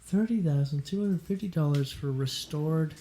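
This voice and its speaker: Slightly high-voiced man bantering. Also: moderate pace, dark tone. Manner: joking, emphatic, repeats words to hold the floor. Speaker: podcast co-host. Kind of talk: casual banter.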